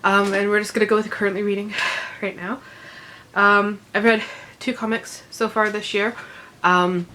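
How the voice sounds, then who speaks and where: A woman's voice: medium pitch, flat delivery, moderate pace, sharp tone, a little hiss or hum. A woman chatting casually about books she has read, congested and recovering from illness, rambling with many ums and little laughs. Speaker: book vlogger. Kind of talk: casual unedited book chat.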